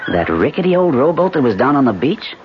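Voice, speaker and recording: A man's voice: medium pitch, big pitch swings, music in the background, natural voice. An actor playing an anxious, earnest father of a young boy in a 1970s radio drama. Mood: grave, steady. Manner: earnest, halting when flustered. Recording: muffled, hissy old radio recording.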